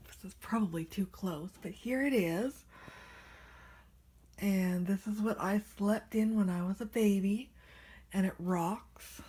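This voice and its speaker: Raspy woman vlogger with a lingering cold. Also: mid-range voice. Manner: soft-spoken, often drops to a whisper. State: recovering from a cold, nasal and hoarse. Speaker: vlogger showing her doll collection. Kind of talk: casual rambling vlog. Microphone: handheld camera without tripod.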